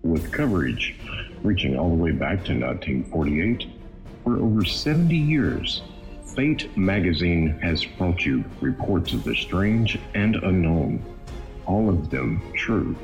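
A man's voice: sits low, sing-song, measured pace, faint music behind, rough recording. A man reading a scripted, dramatic radio show introduction. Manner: dramatic, announcer-style delivery. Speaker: radio announcer.

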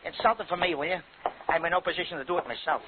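A chummy prison trusty in a 1950s radio play, acted by a man. He joshes familiarly in a high-pitched male voice. The recording is thin and narrow. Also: rapid-fire, background noise, lightly acted. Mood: chummy, teasing. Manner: joshing, familiar. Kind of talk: 1950s radio crime drama acting.

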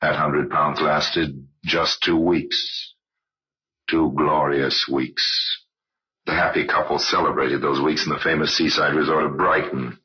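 A man narrating old-time radio crime tales as a grave, sardonic storyteller in a measured, wry, dramatic way. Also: extremely low pitch, steady tone, breathy edge, full character voice. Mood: grave, suspenseful. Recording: dull, noisy old radio recording.

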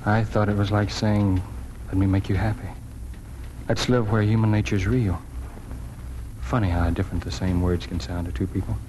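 Man playing a low-voiced, amiable editor. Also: flat delivery, moderate pace, a little mellow, natural voice. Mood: rueful, affectionate. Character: amiable, wry small-town newspaper editor. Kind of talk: 1940s radio acting. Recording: noisy old 1940s radio recording.

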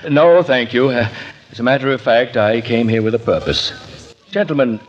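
An actor playing a kindly, earnest preacher, polite and a little hesitant, in 1940s radio comedy style. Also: male voice, mid-range voice, animated, moderate pace, natural voice. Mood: gentle, earnest. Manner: polite, hesitant, formal, gently persuasive. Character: kindly, earnest male preacher, mild and courteous. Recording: dull, faintly noisy 1940s radio recording.

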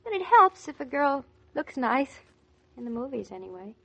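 Young newlywed wife, American, 1940s radio style. Higher-pitched, bright, gushing, anxious to please. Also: female voice, very animated, normal speed, creaky, exaggerated voice, low-quality recording. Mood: hopeful, stubborn. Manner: gushing, fussing, coaxing. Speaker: actress in radio serial. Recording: vintage 1940s radio recording.

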